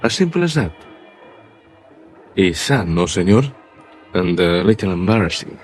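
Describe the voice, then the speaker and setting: An actor playing a suave, proud bullfighter with a Spanish accent and formal, measured phrasing in a classic radio drama. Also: male voice, sits low, very animated, faint music behind, exaggerated voice. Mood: wry, resigned. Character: suave, proud male Latin bullfighter, worldly and frank.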